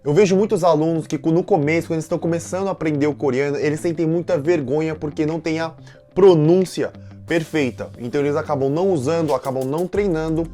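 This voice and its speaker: A man's voice: fairly high pitch, fast talker, warm tone, faint music behind. A man with a Brazilian Portuguese accent, a language teacher giving a casual lesson and talking straight to his viewers in a chatty, direct way. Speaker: Korean teacher and channel owner.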